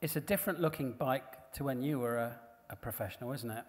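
A man's voice: medium pitch, a little fast. A man hosting a live panel interview on stage, asking chatty questions with fillers. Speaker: stage host. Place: large hall on stage before an audience. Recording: long hall reverb.

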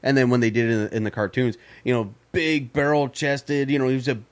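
A man's voice: medium pitch, little pitch movement, brisk. Guy cohosting a podcast, rambly, lots of you knows, cusses a bunch. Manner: rambling, lots of "you know" fillers, swears casually. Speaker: podcast co-host. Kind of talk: casual podcast chat.

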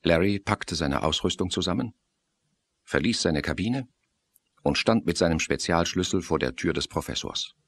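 A male narrator with a low voice tells a suspense story in a scripted, dramatic style. The recording carries faint background noise. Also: vocal fry, a bit bright.

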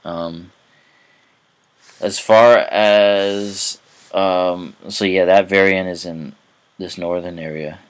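Young guy, deep low voice, casual halting explainer, lots of ums. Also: fairly even intonation, clean tone. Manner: halting, many fillers and restarts. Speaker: language hobbyist vlogger. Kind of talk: casual screen-recorded explainer.

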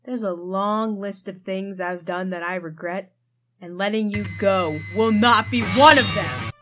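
An intense, defiant heroine acted by a woman, emotional in delivery. Her pitch is average for a woman, with clear music behind the dramatic scene. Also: unhurried, close to an everyday voice. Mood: regretful resolve.

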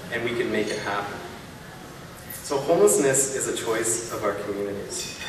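A man in his thirties giving a keynote speech from a podium, earnest and persuasive, building his points in rhythmic lists. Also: mid-range voice. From Canada. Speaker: keynote speaker. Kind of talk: public speech. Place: large hall, at a podium on stage. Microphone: podium mic, filmed from far off. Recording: echoey hall from far off, room noise.

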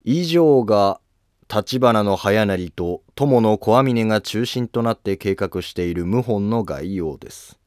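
A man playing a coolly polite, scheming Japanese court noble with a calm, formal, deliberate delivery in a history drama. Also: fairly low pitch, dry recording, studio quality. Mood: cool, calculating.

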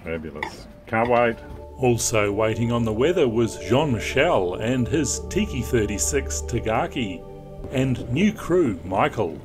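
A man's voice: mid-range voice, expressive, measured pace. A middle-aged man hosting a sailing vlog from on board his boat, chatting upbeat with a few fillers. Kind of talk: casual vlog narration and chat. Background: music under the narration.

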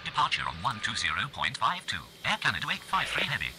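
A man's voice: medium pitch, wildly swooping pitch. A man voicing an airline pilot in a game, reading back radio calls in a clipped manner. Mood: brisk. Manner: quick, clipped radio readbacks. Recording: noisy game radio audio.

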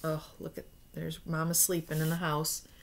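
A woman's voice: deep voice, completely flat delivery, moderate pace, gravelly, background noise. An American woman in her forties reading a children's picture book aloud expressively, with asides and questions to young listeners. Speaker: read-aloud storyteller. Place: small room at home.

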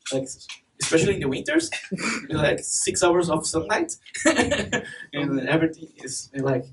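A man's voice: fairly high pitch, big pitch swings, measured pace. Young Brazilian man, laughing a lot. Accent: Brazilian Portuguese. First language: Portuguese. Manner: chatty, laughs often, many fillers. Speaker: conference speaker. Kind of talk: conference talk. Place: dark event hall with stage and projector. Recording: distant mic with faint noise.

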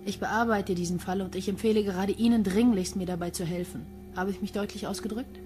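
A woman's voice: mid-range voice, fairly even intonation, a little fast, over music, natural voice. An actress playing a cool, firm female police investigator in a crime radio play, dry and insistent. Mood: stern. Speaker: actor in a crime radio drama. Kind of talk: German radio play acting.

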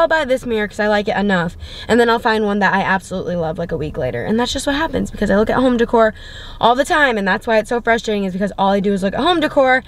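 A woman's voice: on the high side, a bit bright. A young woman from Florida, a home decor vlogger, chatting casually and gushing about her finds while filming in stores and later from a parked car. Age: young adult. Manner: bubbly, gushing, filler-heavy. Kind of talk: casual shopping vlog chat. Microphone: handheld camera.

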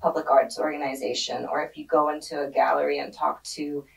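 A young woman born in Germany and raised in Hungary, answering interview questions in a relaxed way with many fillers, on a podcast mic in a studio. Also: low-pitched, brisk, creaky, distant mic, poor audio. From born in Germany, grew up in Hungary. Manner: relaxed, thoughtful, with frequent fillers. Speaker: guest, street art curator. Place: studio with dark padded walls. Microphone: podcast mic on a desk arm.

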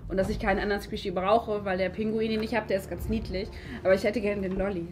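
A woman's voice: medium pitch, little pitch movement, fast talker, a little hiss or hum, lightly acted. A woman playing a naive, evasive theft suspect in an improvised comedy skit, giving short, innocent-sounding answers. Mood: naive, cheeky. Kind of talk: improvised comedic role-play. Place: furnished room, indoors.

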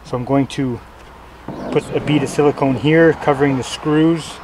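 A man's voice: mid-range voice, normal speed, noisy background. A man casually talking through a do-it-yourself job outdoors in a plain, loose way, with fillers and self-corrections. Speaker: DIY instructor. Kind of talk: casual how-to explanation. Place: outdoors on a lawn.

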